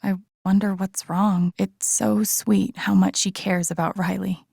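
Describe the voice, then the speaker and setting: A woman playing an introspective, gently wry young woman in a video game, musing to herself in a naturalistic, low-key way. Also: little pitch movement, unhurried, gravelly, breathy edge, no echo, clean studio sound, full character voice. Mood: warm, musing. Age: young adult. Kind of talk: naturalistic game voice acting, inner-monologue remarks.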